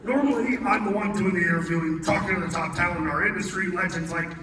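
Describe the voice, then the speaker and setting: High-pitched man reading in echoey hall. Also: fast. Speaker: audience volunteer reading a comic script. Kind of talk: live comedy table read. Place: convention hall with audience. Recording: echoey noisy hall, distant mic.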